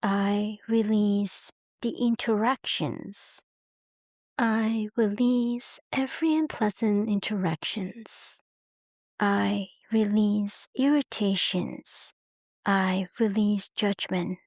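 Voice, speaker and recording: Woman doing guided meditation, slow soothing scripted narration, dry recording no room sound. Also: mid-range voice, no echo. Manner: slow, unhurried phrases with gentle pauses.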